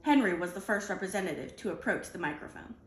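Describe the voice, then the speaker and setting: A woman reading a children's picture book aloud in an animated, deliberate storytelling manner. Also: lowish voice, reverberant. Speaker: storybook reader.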